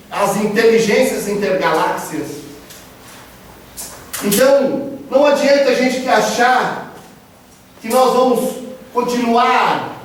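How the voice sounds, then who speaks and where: A man giving an earnest religious lecture to a live audience, explaining and repeating phrases for emphasis. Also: high-pitched. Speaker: speaker giving a public spiritist lecture. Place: lecture room at a spiritist house. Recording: very echoey hall, distant mic, background noise.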